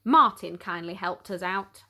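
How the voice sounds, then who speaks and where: A woman's voice: lowish voice, unhurried, bright, forceful tone. A woman storyteller reading a children's picture book aloud, expressively, with dramatic emphasis on key words.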